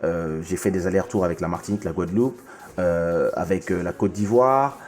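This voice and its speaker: Low-voiced talkative male host. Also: fairly quick, a little mellow, slight background noise. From Congo. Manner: talkative, stumbles and restarts often. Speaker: podcast host. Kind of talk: casual chat.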